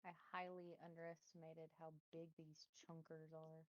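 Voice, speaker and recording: A woman's voice: deep voice, level tone, normal speed, dry recording. A woman chatting casually with friends while gaming, playful and dry, laughing often. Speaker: friend on voice chat.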